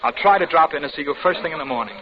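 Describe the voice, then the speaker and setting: An actor playing a brisk, hearty, solicitous male boss in an old-time radio drama, with a take-charge manner. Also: a little high, animated, close to an everyday voice. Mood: concerned, insistent. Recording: muffled old radio recording, faint hiss.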